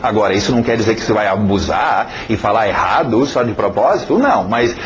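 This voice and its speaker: A man's voice: on the low side, expressive, fast. A man teaching an informal spoken lesson, explaining each point and checking in with a questioning "ok?". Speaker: English teacher. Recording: dull sound with faint hiss.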